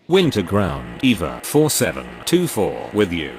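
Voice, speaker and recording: A deep-voiced airline pilot calls in with clipped radio phrases in a video game. His pitch swings widely despite the terse wording. Clear engine noise sits behind him. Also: huge pitch swings, a little slow, exaggerated voice.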